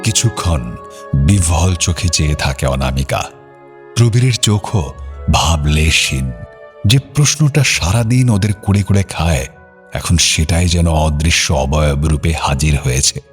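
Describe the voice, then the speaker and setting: Male narrator for audio fiction: deep voice, dramatic scripted delivery, light music underneath. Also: animated, measured pace.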